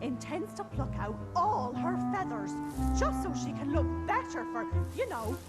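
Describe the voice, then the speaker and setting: Prim, swooping, high-voiced cartoon gossip. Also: female voice, very animated, measured pace. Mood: scandalised. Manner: indignant, dramatic. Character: prim scandalised gossiping female farm animal. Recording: music behind voice.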